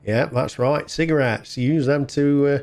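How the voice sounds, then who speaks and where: A man's voice: medium pitch, steady tone, normal speed, vocal fry, darkish tone. A man hosting a gaming video, chatting casually and thinking aloud as he plays. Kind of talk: casual game play commentary. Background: game music.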